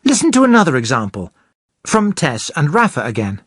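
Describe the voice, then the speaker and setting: A presenter explaining English grammar slowly and clearly for beginners. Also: mid-range voice, huge pitch swings, dry recording, clean studio sound. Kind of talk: scripted teaching narration.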